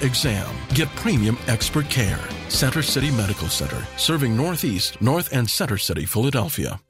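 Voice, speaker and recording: A male announcer reads radio commercials at a steady pace with a mid-range voice. Music plays clearly behind him. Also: mid-range voice.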